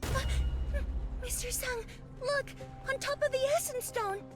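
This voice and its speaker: A timid young girl from a video game, extremely high in pitch. She talks slowly and haltingly, with a sweet, meek manner. Music is clearly heard behind her. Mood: nervous, hesitant. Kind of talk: scripted dramatic game acting.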